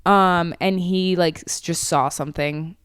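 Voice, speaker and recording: A woman in her twenties co-hosting a podcast in casual chat, animated, swearing freely and acting out what others said. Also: lowish voice, unhurried, clear, resonant tone, no room sound, clean studio sound. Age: 20s. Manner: animated, swears freely, laughs often, acts out quotes. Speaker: podcast co-host.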